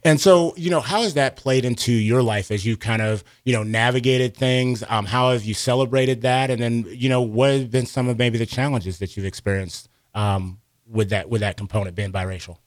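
A man's voice: mid-range voice, steady tone, moderate pace, few pauses, no echo. A man hosting a live radio interview from a studio, speaking into a close mic. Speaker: radio show host.

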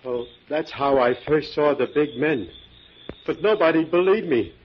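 Husky, simple-minded timid fellow. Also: male voice, on the high side, unhurried. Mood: eager, naive. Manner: hesitant, naive, folksy grammar. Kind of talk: 1940s radio acting. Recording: dull 1940s radio recording.

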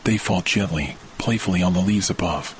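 A man with a deep, low voice guides listeners through a scripted relaxation meditation. His delivery is soothing and measured, like that of a sleep podcast host. Rain on leaves and soft thunder are clearly audible behind his words. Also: a little hiss or hum, rough recording. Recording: loud rain and thunder sound bed.

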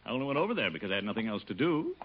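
An American actor playing a blustering, lovesick, middle-aged uncle in a 1950s radio sitcom, pompous and easily flustered. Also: male voice, on the low side, sing-song, racing. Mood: sulky muttering. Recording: noisy, muffled old radio recording.

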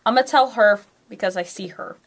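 A woman's voice: mid-range voice, brisk. A playful, giggly woman joking casually as a player in a tabletop roleplaying game, speaking in slang. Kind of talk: casual, joking game play among friends. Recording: noisy home recording with clicks.

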